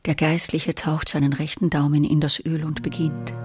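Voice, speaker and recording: A narrator of a literary radio play, telling the story in a measured, dramatic way with deliberate pauses. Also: mid-range voice, level tone, music in the background.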